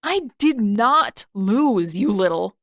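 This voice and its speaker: A woman's voice: mid-range voice, lively intonation, slow pace, no echo, clean studio sound. A woman voicing a sarcastic, complaining teenage boy who grumbles through a story reading. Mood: argumentative.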